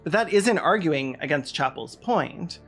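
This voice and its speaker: Sardonic video essay person, medium pitch, scripted political rant, bit of music behind. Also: expressive, measured pace, fairly bright tone, husky.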